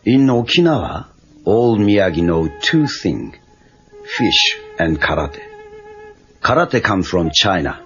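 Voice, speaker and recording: An actor playing a wry, calm old Okinawan karate master with a Japanese accent, speaking terse, clipped broken English in a husky voice. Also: male voice, deep voice, exaggerated voice. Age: older. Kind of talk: film dialogue. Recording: dull lo-fi cassette tape.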